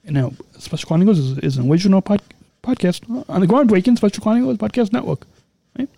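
A man's voice: high-pitched, fast talker, gravelly, warm tone, no room sound. A man co-hosting a casual podcast, signing off with repeated, stumbling, upbeat plugs. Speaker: podcast co-host.

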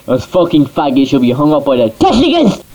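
A man's voice: on the high side, wildly swooping pitch, fairly quick, heavy background noise. A man hosting a rock radio show, with crude, mocking banter and frequent laughter.

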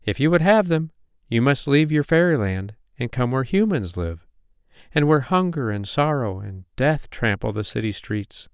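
A man's voice: mid-range voice, animated, normal speed, smooth, dry recording, clean studio sound, voice pushed up, close to an everyday voice. A man as a stately fairy guide, raised voice. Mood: solemn. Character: glorious tall female fairy, serene and solemn guide. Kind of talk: children's storybook character voice.

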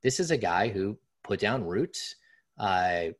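A man hosting a sports podcast with a raspy voice, talking loosely and conversationally with lots of fillers and restarts. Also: fairly low pitch, animated, slow pace. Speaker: podcast host. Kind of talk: casual sports podcast talk.

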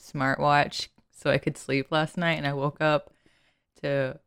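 A woman's voice: sits low, flat delivery, a little slow, gravelly, a bit bright, smooth. A young woman co-hosting a casual podcast, teasing her co-host and laughing often. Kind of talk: casual chat.

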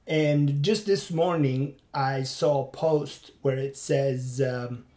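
A man's voice: medium pitch, fairly even intonation, slow pace, mellow, roomy sound. A man from Turkey hosting a casual podcast monologue while running, rambling and reflective with many fillers. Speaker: podcast host and comedian.

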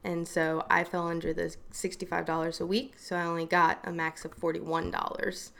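A woman with a fairly low voice and a gravelly, fry-tinged edge talks in a relaxed group conversation. She tells her story in an animated way, full of fillers and quick asides. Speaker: hairstylist and makeup artist guest. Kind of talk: casual podcast chat.

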